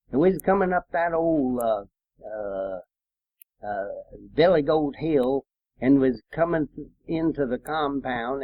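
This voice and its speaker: An elderly man with a rural American accent tells old stories at a very unhurried pace. His voice sits a little high for a man, and his delivery is folksy and halting, wandering along as oral history. The recording is slightly dull and lo-fi. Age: 80s or older. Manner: folksy, halting, plain-spoken. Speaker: World War II veteran recounting his service. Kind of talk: rambling oral-history storytelling. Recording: muffled, roomy, lo-fi home recording.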